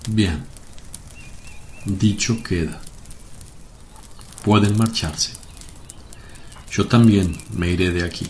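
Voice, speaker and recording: Guy playing a patient solemn rabbi in a radio play, lowish voice, bit of background noise. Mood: resigned. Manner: solemn, measured. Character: gentle, grave male rabbi and healer, patient, pleading. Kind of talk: Spanish radio drama acting.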